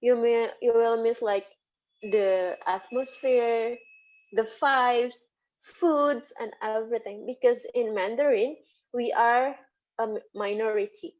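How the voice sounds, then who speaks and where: Hesitant Indonesian-accented woman, slow. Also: on the high side, darkish tone. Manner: hesitant, many fillers and restarts. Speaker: lecturer being interviewed. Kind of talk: casual interview answers. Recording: dull lo-fi recording.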